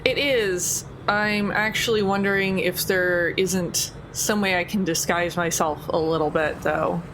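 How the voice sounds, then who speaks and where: A woman's voice: on the low side, fairly even intonation, unhurried. A woman playing in a tabletop game, talking casually and rambling with fillers. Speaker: player. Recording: noisy background.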